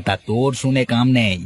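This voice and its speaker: A man acts out a teasing elder fisherman in a cartoon. His voice sits at a medium male pitch, smug and chiding. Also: fairly bright tone. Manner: chiding, familiar. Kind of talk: Bengali cartoon story voice acting.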